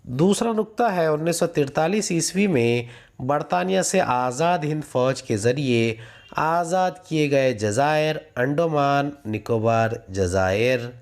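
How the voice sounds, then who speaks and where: A man reading out a lesson methodically as a teacher, announcing each numbered point. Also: medium pitch. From India. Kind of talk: scripted lesson, reading questions and answers aloud.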